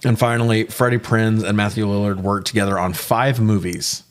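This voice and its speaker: A man's voice: on the low side, normal speed. A man co-hosting a casual movie review chat, griping with plenty of swearing. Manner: profane, animated griping. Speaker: podcast co-host reviewing movies.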